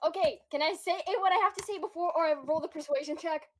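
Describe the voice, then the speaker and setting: Female tabletop player, extremely high register, casual roleplay chat. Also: fast talker, a bit bright, slight background noise.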